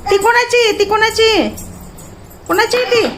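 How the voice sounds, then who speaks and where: A woman's voice: extremely high pitch, steady tone, unhurried. A young woman with a Marathwada Marathi accent, a mother chatting casually with her toddler, playful and teasing, repeating short questions. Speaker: mother and family vlogger. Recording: faint background noise.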